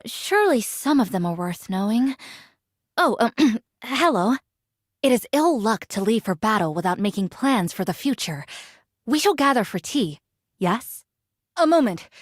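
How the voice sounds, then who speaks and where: An actress playing a solemn, dutiful ancient warrior woman in a video game, speaking in formal, measured, archaic phrases. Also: on the high side, sing-song, no echo, studio quality. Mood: polite, awkward. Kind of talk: video game character voice lines.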